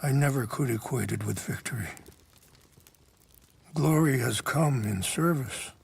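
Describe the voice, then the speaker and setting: An actor playing a gentle, weary old chief and peacemaker in a game cutscene, speaking in a measured, reflective way with pauses. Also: male voice, medium pitch, gravelly, slightly rough, full character voice. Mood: reflective, sorrowful. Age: older. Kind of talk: video game cutscene acting.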